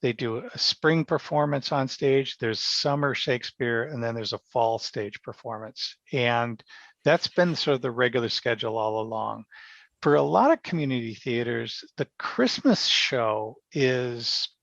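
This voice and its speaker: A man with a creaky, gravelly voice talks as an interview guest. He is reflective and casual, pausing as he works through his thoughts. The sound is dry and somewhat dull and lo-fi. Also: mid-range voice, a bit bright, dry recording. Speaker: podcast guest, amateur theater member.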